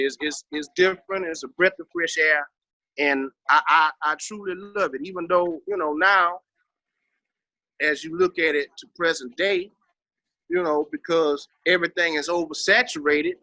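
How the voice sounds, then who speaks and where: A man with a high, forceful voice and a Texas drawl speaks casually as an interview guest. He is emphatic, salty and slangy, and the sound is close and dry. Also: no echo, rough recording. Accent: Southern US, Texas. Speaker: interview guest, hip-hop veteran.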